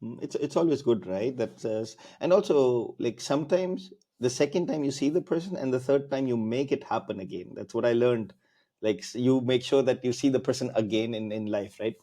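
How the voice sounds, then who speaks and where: A man's voice: medium pitch, normal speed, mellow. A man hosting a podcast interview, chatting loosely with fillers and restarts. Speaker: podcast host. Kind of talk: casual interview chat.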